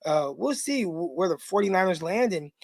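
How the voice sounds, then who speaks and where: High-voiced man, dry close sound. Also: animated, creaky, dry recording. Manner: enthusiastic, opinionated, quick asides. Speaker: co-host, former pro football player. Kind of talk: live podcast draft talk.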